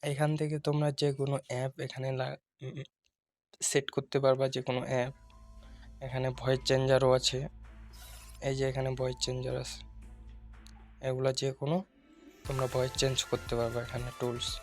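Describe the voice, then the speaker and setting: A man narrates a casual phone tutorial in a flat, even voice over faint music. He instructs step by step, often repeating himself as he goes. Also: mid-range voice, a little fast, pauses often. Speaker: tutorial video host.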